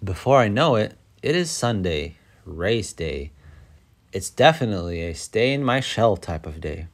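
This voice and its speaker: A man reading a children's picture book aloud as an expressive, dramatic storyteller. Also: lowish voice, unhurried.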